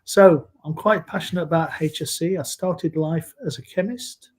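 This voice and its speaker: A man in his sixties from Scotland, speaking in a measured, earnest way as a guest in a live video-call interview. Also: a little high, darkish tone. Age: 60s. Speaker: interview guest, safety leader.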